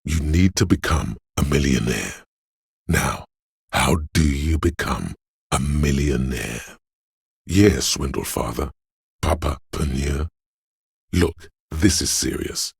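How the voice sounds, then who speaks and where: A man playing a slick, money-obsessed inner voice in a video game, a cajoling, flamboyant hype man with streetwise swagger and a gravelly delivery. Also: dry recording, studio quality, heavily acted. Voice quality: gravelly, very deep. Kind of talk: theatrical video game character monologue.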